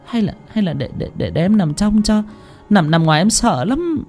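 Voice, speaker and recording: A man voicing a terrified young wife who stammers in shrill panic. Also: sing-song, normal speed, mellow, slightly rough, faint music behind. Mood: panicked stammering.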